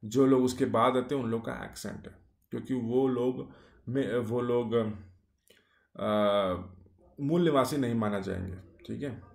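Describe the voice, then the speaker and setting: A man's voice: mid-range voice, unhurried, gravelly, a little mellow. A man giving a casual explainer monologue, speaking in short clauses with many fillers and rhetorical questions. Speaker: YouTube explainer. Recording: echoey room.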